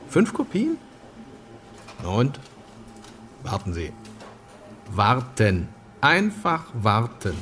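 A brusque, irritable stranger in a radio drama. He grumbles in curt bursts with lively, swinging pitch, and there is clear music behind him. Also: drawn-out words, long pauses, exaggerated voice. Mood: irritated. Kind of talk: radio play acting.

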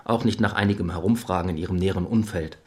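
A sober, dutiful police assistant played by a man in a radio play. His voice sits fairly low and he reports in a matter-of-fact way. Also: fast, close to an everyday voice. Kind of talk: German radio play acting.

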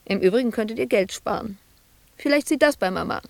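Wounded, bitter asylum inmate woman, creaky voice, very dry close studio sound, radio play monologue, volatile and reproachful. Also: a little high, lively intonation, a little fast, no echo. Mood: reproachful, hurt. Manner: reproachful, volatile, dropping at times to hushed murmurs.

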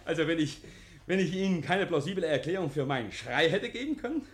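An actor playing a nervous, over-eager murder suspect in a 1950s radio play, effusive, stammering and repeating himself. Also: male voice, a little high, animated, fast, close to an everyday voice. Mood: over-eager, polite.

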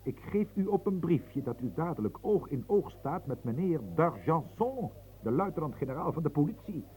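A man's voice: on the high side, big pitch swings, moderate pace, dark tone, poor audio. An actor playing a loyal adviser in a radio play who pleads earnestly and warns his master. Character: loyal adult male adviser, urgent and warning.

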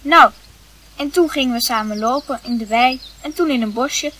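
A woman playing a cocky schoolboy in a radio play, eager to tell secrets in a boastful, halting way. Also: high voice, pushed and bright, rough recording.

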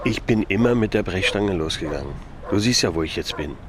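Resigned disgraced cop, low voice. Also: male voice, lively intonation, brisk, crowd noise. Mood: resigned, urgent. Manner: resigned, earnest. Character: disgraced policeman, resigned, earnestly warning his friend. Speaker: actor. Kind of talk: German radio drama acting.

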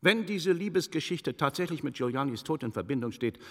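An actor playing a shrewd, composed scholarly investigator in a German radio drama, speaking deliberately. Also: male voice, on the high side, animated. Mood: insistent, reasoning. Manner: measured, deliberate, probing questions. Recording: reverberant studio radio play sound.

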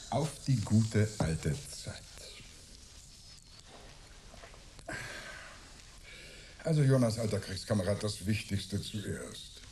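An actor playing a cocky, jovial astronaut in a radio play, talking in a chummy, mocking, theatrical way. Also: male voice, fairly low pitch, background noise, full character voice. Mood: mock heroic. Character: cocky, jovial male astronaut, wheedling old war buddy.

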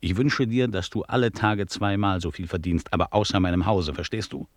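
A worldly police lieutenant played by a man in a radio drama, speaking with wry authority. His voice is very low, his manner commanding and ironic, and the sound is close and dry. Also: brisk, no echo, close to an everyday voice. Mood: wry, knowing. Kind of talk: German radio play acting.

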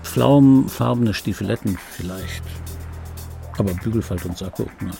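An actor playing an ageing, wry and obsessive dubbing artist in an intimate radio drama monologue, speaking deliberately with pauses and repeated phrases in a gravelly, husky voice. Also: male voice, fairly low pitch, warm tone, soft background music. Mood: wry, reminiscing. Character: ageing male dubbing actor recalling his screen star, wry, obsessive. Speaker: narrator of a radio play.

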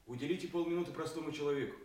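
A man's voice: on the high side, very fast, background noise, close to an everyday voice. An actor playing a humble, loyal and brave young man in disguise in a classical audio drama, with earnest declamatory delivery. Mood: humble, resolute.

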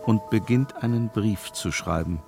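A man narrating a suspense radio drama as a measured storyteller, in a scripted style. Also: lowish voice, creaky, over loud music. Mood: measured, suspenseful.

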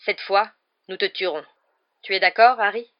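A woman voicing a furious, vengeful man in a storybook, loud and growling with accusation. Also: mid-range voice, steady tone, moderate pace, lo-fi sound, close to an everyday voice. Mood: menacing.

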